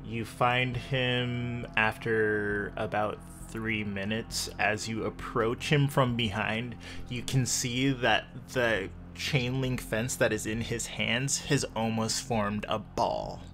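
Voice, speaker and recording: A man narrating a tabletop horror roleplay as game master, with deliberate, descriptive storytelling. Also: on the low side, steady tone, a bit bright. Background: clear background music.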